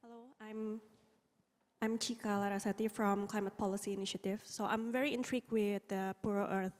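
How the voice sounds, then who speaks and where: A woman's voice: mid-range voice, steady tone, brisk. Polite woman asking from the audience. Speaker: audience member asking a question. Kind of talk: conference Q&A question. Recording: echoey lecture hall.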